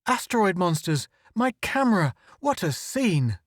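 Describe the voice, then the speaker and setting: A man voicing a small, nervous, wisecracking photographer who talks in a quick, excitable, wry way. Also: high voice, no echo, studio quality, pitched above the performer's own voice, heavily acted. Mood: excited.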